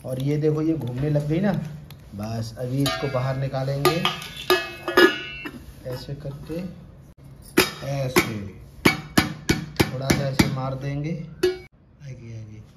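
A man's voice: medium pitch, takes it slowly, pauses often, loud music behind the voice. A man in his thirties giving a chatty home cooking demonstration to viewers in a tiled kitchen, filmed on a phone held close. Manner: chatty, addresses viewers as friends. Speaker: cooking vlogger. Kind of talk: casual home cooking demo. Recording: roomy tiled kitchen, faint background noise.

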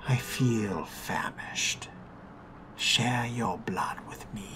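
A man voicing a suave, possessive vampire with refined, theatrical diction and a breathy, whispery delivery in a game roleplay. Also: medium pitch, very slow, bright, forceful tone. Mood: seductive, soothing. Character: suave, possessive male vampire fledgling, menacingly tender. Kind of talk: in-character roleplay narration of a video game. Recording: music behind the voice.

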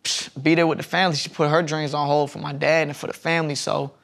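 Young man telling stories, gravelly. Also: on the high side, level tone, fast, a bit bright. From Southern United States. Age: young adult. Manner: rambling storytelling with restarts. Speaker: podcast guest. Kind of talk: casual interview chat. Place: studio lounge set. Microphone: lapel mic.

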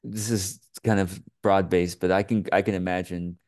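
A middle-aged man from Taiwan hosting an interview over a video call, asking questions in a halting way with many restarts. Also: sits low, little pitch movement, darkish tone. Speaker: host, business coach. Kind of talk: conversational interview. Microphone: webcam on a video call.

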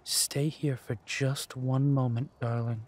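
Man acting a husky, slow-spoken vengeful villain. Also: medium pitch, level tone, darkish tone. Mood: soft, soothing. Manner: slow, deliberate, menacing delivery. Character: vengeful, menacing male villain, ruthless and coolly mocking. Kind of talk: scripted villain roleplay audio drama.

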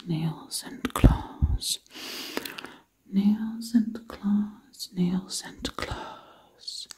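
Woman whispering asmr up close, super slow, keeps repeating words in a soft rhythm. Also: mid-range voice. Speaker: ASMR creator. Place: small indoor room at home. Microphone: close mic.

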